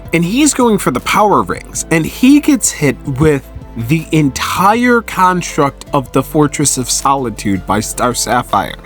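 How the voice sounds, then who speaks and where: A man giving a casual, enthusiastic story recap as a host, with an animated, excitable way of telling it. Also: on the high side. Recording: music under the voice. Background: background music.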